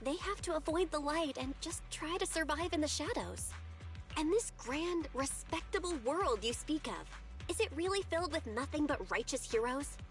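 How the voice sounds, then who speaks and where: A principled young heroine from a video game. Her voice is high, and she speaks with earnest, pointed pluck over faint music. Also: lively intonation, moderate pace. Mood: defiant, earnest. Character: plucky young female proxy, principled and quick to retort.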